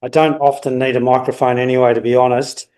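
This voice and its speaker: An older man giving a talk to a club meeting, projecting his booming voice across the room without a working microphone. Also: level tone, fast, darkish tone, roomy sound. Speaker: guest speaker. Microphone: unreliable handheld mic, then unamplified.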